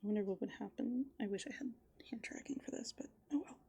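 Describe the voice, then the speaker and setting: A soft-spoken woman doing rambling, playful ASMR as a raccoon, musing aloud and often slipping into whispers. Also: medium pitch, fast talker, vocal fry, breathy edge. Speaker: ASMR host. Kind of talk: furry roleplay ASMR, improvised rambling.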